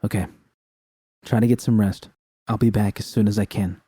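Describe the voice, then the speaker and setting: A man's voice: fairly low pitch, fairly even intonation, warm tone, slightly rough, no echo, studio quality. A man reading aloud, voicing a tough, short-tempered woman mercenary who talks curtly and swears. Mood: gentler. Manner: curt and swearing, quick.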